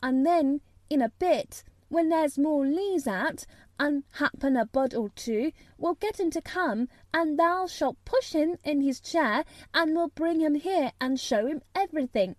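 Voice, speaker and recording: A woman's voice: high-pitched, expressive, unhurried, a little mellow, no room sound, pitched above the performer's own voice. A woman voicing an eager young girl who proudly tries out a broad Yorkshire dialect.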